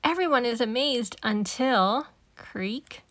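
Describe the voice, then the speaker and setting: Female storybook reader, slow and high, animated read-aloud for little kids, dry sound. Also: no room sound. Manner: animated, emphatic on sound-effect words. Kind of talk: expressive read-aloud narration for young children.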